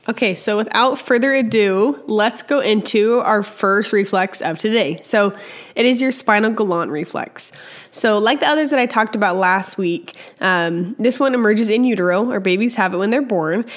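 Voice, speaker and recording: Chatty woman with a scratchy cold voice. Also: medium pitch. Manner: chatty, with many fillers and restarts. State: slightly hoarse from a cold. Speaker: podcast host and early childhood educator. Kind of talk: casual educational talk.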